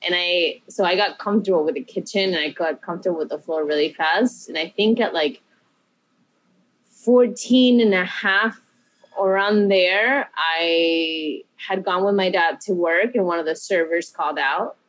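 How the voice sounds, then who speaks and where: A woman's voice: fairly low pitch, normal speed, poor audio. A woman from Peru, a chef and interview guest, telling her story in a rambling, self-correcting, upbeat way.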